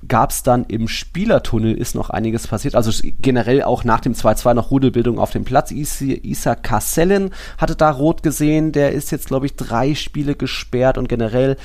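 A man with a medium-pitched voice hosts a casual football podcast. He recaps in a chatty, loosely structured way, dropping in asides as he goes. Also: normal speed, hardly any pauses, a little mellow. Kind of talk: casual sports podcast discussion.

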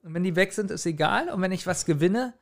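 A man chatting casually as a podcast co-host, telling personal stories in an animated way and often chuckling. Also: high-pitched, brisk. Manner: animated storytelling, frequent chuckling. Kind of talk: casual chat, personal anecdotes.

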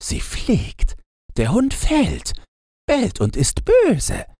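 A man's voice: very high-pitched, huge pitch swings, extremely slow, warm tone, slightly rough, no echo, studio quality, pitched above the performer's own voice, full character voice. A man voicing a small, dreamy snow flower from a fairy tale, reciting in a light, lilting way.

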